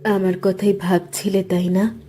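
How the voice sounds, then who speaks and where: A woman's voice: medium pitch, little pitch movement, warm tone, close to an everyday voice. A woman playing an alluring, enigmatic young female apparition in a cartoon ghost story, with music behind. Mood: eerie, tender. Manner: slow, enigmatic.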